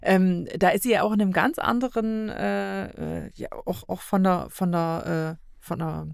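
A woman's voice: medium pitch, steady tone, normal speed, vocal fry, a little mellow, clear, resonant tone, no echo, studio quality. A woman in a casual review conversation, thinking aloud and often searching for words. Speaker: podcast guest.

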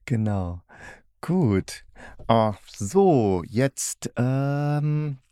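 A man's voice: mid-range voice, expressive, very slow, dark tone, smooth, no room sound, clean studio sound. A man hosting a podcast, chatting casually and thinking aloud in loose, colloquial sentences. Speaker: podcast host reviewing radio plays. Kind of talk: casual interview chat.

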